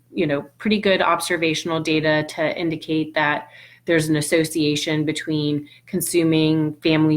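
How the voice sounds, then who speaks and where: A woman's voice: low voice, a bit bright. A middle-aged woman from Puerto Rico, a nutrition scientist answering audience questions on a livestream, thoughtful, with many ums and restarts. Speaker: nutrition scientist hosting a live Q&A.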